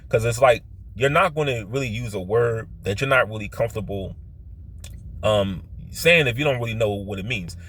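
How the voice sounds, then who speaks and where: A man with a Philadelphia accent and heavily stressed O's, telling personal stories in a rambling, halting way that often circles back and repeats. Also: on the low side, fairly bright tone, a little hiss or hum. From Philadelphia, United States. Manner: stresses his O vowels; halting, repetitive, circles back to his point. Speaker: vlogger.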